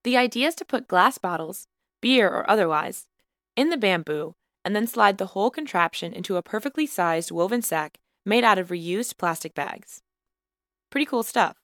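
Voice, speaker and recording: A woman narrating a student podcast episode in a measured, lightly wry scripted style. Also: mid-range voice, animated, a bit bright, no echo, clean studio sound. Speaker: podcast host and student reporter.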